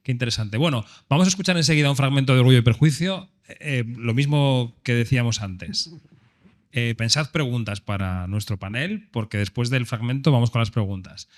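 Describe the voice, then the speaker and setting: A man's voice: medium pitch, a little fast. Spain Spanish man moderating a panel. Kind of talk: hosting a panel discussion.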